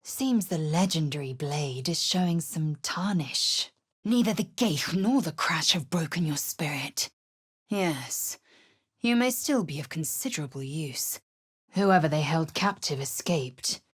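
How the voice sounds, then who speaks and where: A stern, husky alien warrior woman. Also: sits low, expressive, unhurried, full character voice. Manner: clipped, commanding, formal and scornful. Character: proud, stern githyanki warrior woman, young adult. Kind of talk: video game character dialogue.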